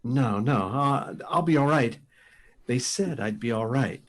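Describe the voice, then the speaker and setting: A man's voice: medium pitch, lively intonation, unhurried. An actor playing a shaken male test pilot in a radio drama, urgent and insistent. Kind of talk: live radio drama acting.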